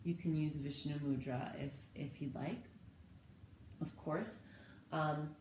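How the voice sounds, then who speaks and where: A woman in her thirties, slightly hoarse, calmly leading a guided yoga and breathing session from her living room. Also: sits low, takes it slowly. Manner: calm, gentle cueing with breath. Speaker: yoga and meditation teacher. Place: living room at home, indoors. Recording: echoey home room, faint background noise.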